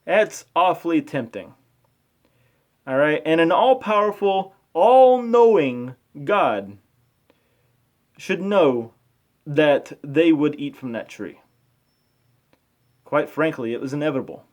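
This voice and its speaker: A man giving informal spoken commentary as a video host, halting, repeating himself and arguing his points. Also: fairly high pitch, animated. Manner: halting, repetitive, argumentative.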